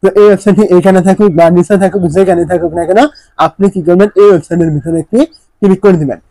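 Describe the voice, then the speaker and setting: A man's voice: high-pitched, fairly even intonation, racing, mellow, no echo. A man narrating a step-by-step phone tutorial, instructing repetitively and often posing questions before answering them. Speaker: tech tutorial presenter.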